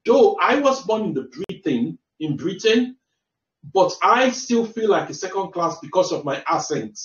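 A fairly high-voiced man with a Nigerian accent, walking viewers through a screen-shared website. He explains patiently and often restarts his phrases. The mic is distant and the room echoes, with a dull lo-fi tone. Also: very animated, moderate pace. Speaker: careers adviser.

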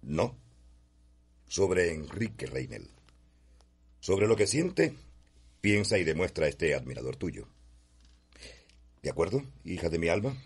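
A man's voice: sits low, sing-song, slow pace, pauses often, husky, exaggerated voice. An actor playing a stern, easily exasperated middle-aged father in a Cuban radio drama, commanding and sardonic, with a Cuban accent. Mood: stern, insistent.

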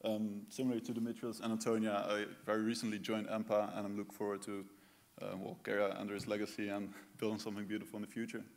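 A man's voice: lowish voice, monotone, fast, noisy background. A young man from the Netherlands, a doctoral student, introducing himself as a board candidate in a conference hall. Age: young adult.

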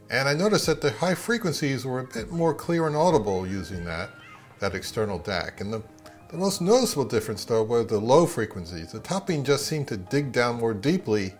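A middle-aged man, narrating a product review of audio gear. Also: medium pitch.

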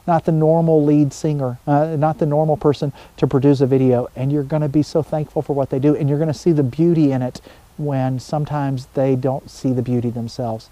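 A middle-aged man speaking as a pastor, giving an informal sermon to camera in a conversational way with pauses mid-thought. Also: on the high side, warm tone, dry recording, rough recording. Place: outdoors in a wooded backyard.

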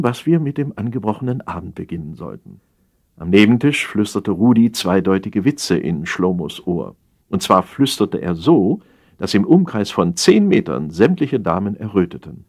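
Expressive, lowish-voiced male storyteller. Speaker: narrator, audiobook reader. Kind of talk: scripted narration of humorous satire.

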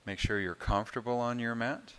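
A man leading a guided meditation as a yoga instructor, speaking in a calm, soothing, unhurried way with long pauses. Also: medium pitch, clear, resonant tone.

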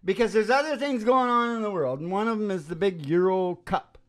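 A man's voice: sits high, fairly quick. An older man hosting a music show, chatting casually and telling rambling, jokey stories. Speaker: musician and radio show host. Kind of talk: casual joking banter between songs. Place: small wood-panelled room. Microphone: stand microphone up close. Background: acoustic guitar.

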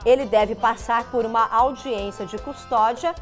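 A middle-aged woman gossips on a studio news set in a fairly high, lively voice. She teases her co-host and jumps in fast. Faint music plays underneath. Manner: chatty, teasing, quick to react. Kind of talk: lively celebrity gossip chat.

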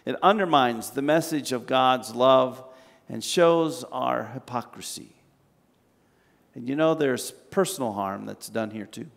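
Slow older pastor preaching in a reverberant church. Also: male voice, medium pitch, vocal fry. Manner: slow, measured preaching with long pauses. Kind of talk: sermon. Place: large church sanctuary with glass walls. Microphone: standing at a pulpit, far camera.

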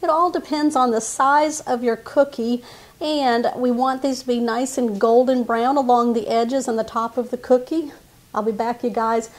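A woman's voice: a little high, fairly even intonation, moderate pace. A woman, giving friendly step-by-step baking instructions in her home kitchen. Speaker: cooking channel host. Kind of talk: casual cooking demonstration.

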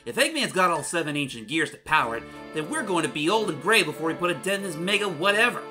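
A man playing a cocky, quick-witted young blue hedgehog hero in a comic dub, with a breezy, teasing manner. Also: fairly high pitch, big pitch swings, music in the background. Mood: determined.

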